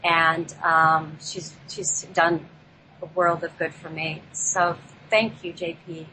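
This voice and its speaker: Female talk-show guest, lowish and bright, hesitant and unscripted, with some background noise. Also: little pitch movement, poor audio. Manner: halting, hesitant, searching for words. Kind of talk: unscripted talk introducing a play.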